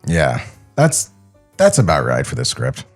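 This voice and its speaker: A man's voice: a bass voice, huge pitch swings, measured pace, soft background music. A man co-hosting a podcast, giving casual comedic commentary in a sardonic, critical manner. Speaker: podcast co-host.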